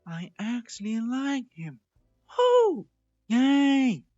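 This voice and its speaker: An impulsive young female cartoon pony, acted out by a man, emotive and lovestruck. The voice sits well up high and sounds dry and slightly dull, as in a homemade storybook reading. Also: huge pitch swings, extremely slow, darkish tone, dry recording, exaggerated voice. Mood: overjoyed. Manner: high-pitched, emotive, dramatic. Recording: dull lo-fi close recording.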